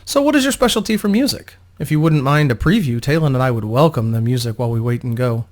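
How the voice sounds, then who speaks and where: A man's voice: mid-range voice, lively intonation, quick pace, close to an everyday voice. A man voicing a female bodyguard and adventurer who is courteous, practical and protective, in a storybook reading. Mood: friendly, reassuring.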